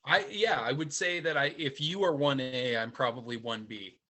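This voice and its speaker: Bright-voiced laid-back male guest. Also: mid-range voice, moderate pace. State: lingering cough from a cold. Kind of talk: casual chat over video call.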